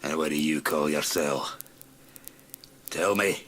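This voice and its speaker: An actor in a radio drama playing a newborn malevolent alter ego, restless and surly, curt and demanding. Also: male voice, a bass voice, slow pace, fairly bright tone, full character voice. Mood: defiant.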